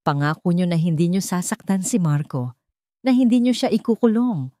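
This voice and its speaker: A woman's voice: fairly low pitch, animated, normal speed, dark tone, dry recording, studio quality, close to an everyday voice. Woman acting an anguished, tearful mother. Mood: pleading. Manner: tearful, faltering, pleading. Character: anguished, pleading mother giving up for her children.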